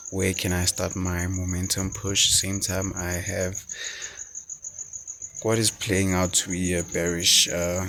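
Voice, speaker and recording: Deep-voiced guy going over trading charts real slow, stops and restarts a lot, english isn't his first language, crickets chirping faint in the back. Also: a bit bright, slight background noise. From South Africa. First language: not English. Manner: thinking aloud, halting with fillers and restarts. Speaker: forex mentor. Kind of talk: screen-share trading walkthrough.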